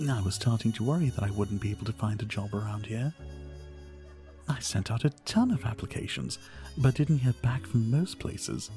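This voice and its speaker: A man voicing a charming, flirtatious vampire bartender in an ASMR roleplay, speaking in a soft, courteous, teasing and unhurried way. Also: lowish voice. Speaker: roleplay audio performer. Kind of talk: ASMR roleplay, addressing the listener.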